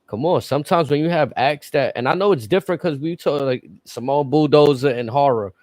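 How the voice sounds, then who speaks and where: A man's voice: on the high side, fairly quick, a little mellow, no echo. A man in casual podcast chat, blunt and profane, arguing his points. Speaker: podcast co-host.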